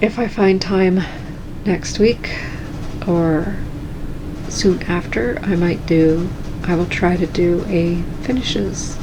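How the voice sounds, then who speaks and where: Slow, calm-voiced woman vlogger. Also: lowish voice, background noise. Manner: calm, slow and soft-spoken. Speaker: cross-stitch vlogger. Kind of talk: casual chat.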